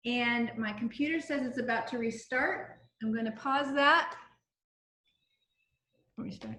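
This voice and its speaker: A middle-aged woman from Southern California runs a casual home cooking demonstration over a video call, her voice sitting a little high. She keeps it chatty and informal, full of ums and restarts. The call audio carries a noticeable roomy echo. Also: measured pace, bright, forceful tone. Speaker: direct-sales cooking consultant.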